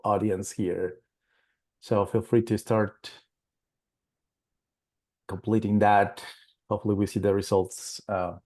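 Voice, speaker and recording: A man's voice: mid-range voice, darkish tone. A man from Peru presenting a planning webinar, speaking with many fillers and restarts.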